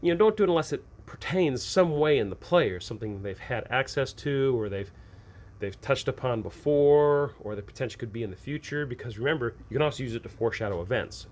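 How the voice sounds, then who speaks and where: Male host, medium pitch, informal off-the-cuff explainer for game masters, conversational, asks and answers his own questions. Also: animated, fairly quick. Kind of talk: casual unscripted advice monologue.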